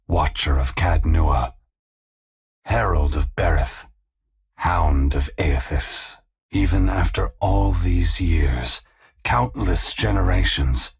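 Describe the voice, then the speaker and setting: An actor playing an ancient, solemn and compassionate sun god in a fantasy video game, speaking gravely and formally with a gravelly, husky voice. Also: male voice, low-pitched, heavily acted. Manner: grave, measured, formal, oracular.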